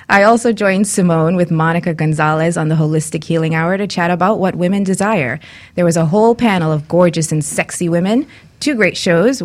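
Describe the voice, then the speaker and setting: A woman's voice: fairly low pitch, a little mellow. A woman hosting a radio show in a studio with a casual, flirtatious style of talk. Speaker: radio show host, intimacy coach.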